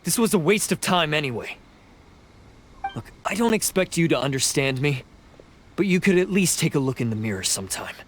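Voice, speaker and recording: A man's voice: fairly high pitch, sing-song, fairly quick, background noise. An actor playing a proud, scornful male oni outcast who lectures coldly, in a video game. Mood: dismissive. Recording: game audio with loud music.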